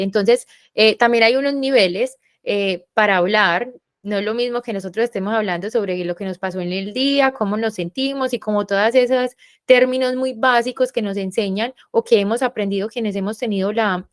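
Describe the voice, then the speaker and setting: A woman's voice: fairly high pitch, no echo, clean studio sound. A woman, a university professor moderating a panel, talking in a reflective, explanatory way with many fillers. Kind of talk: panel discussion.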